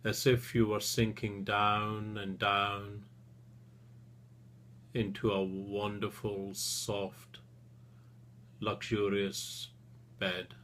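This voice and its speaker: Guy doing guided relaxation, really slow and gentle, pretty even tone, lots of pauses. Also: fairly low pitch, slight background noise. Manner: soothing, gentle, with long pauses between short phrases. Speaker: therapist leading a relaxation session.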